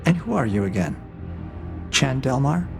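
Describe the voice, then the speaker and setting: A man playing a cold, calculating AI interrogator in an audio drama, speaking in a measured, probing, condescending way with a gravelly edge. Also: mid-range voice, sing-song, over music. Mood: clinical taunting. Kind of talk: scripted audio drama dialogue.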